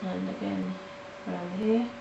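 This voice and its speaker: A woman with a nasal voice from hay fever, talking halting and casual as she walks through a coloring tutorial at home. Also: medium pitch, steady tone, gravelly, dark tone, dull audio. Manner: halting, with restarts and fillers. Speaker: art tutorial host. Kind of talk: casual step-by-step tutorial narration. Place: home in the countryside. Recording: roomy, mic at a distance, faint noise.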